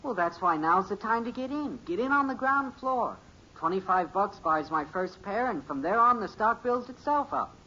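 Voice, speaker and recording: An actor playing an eager, stubborn American teenage boy in a 1950s radio sitcom, excitable, slangy and insistent. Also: sits high, normal speed, full character voice. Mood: eager, boastful. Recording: muffled, noisy old radio recording.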